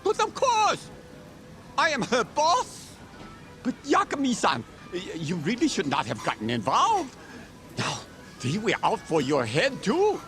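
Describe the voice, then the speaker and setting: An actor playing an excitable, polite foreign male restaurant boss in a video game, earnest and fretful. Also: very high voice, a little slow, faint music behind, full character voice. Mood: earnest, concerned. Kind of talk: video game cutscene acting.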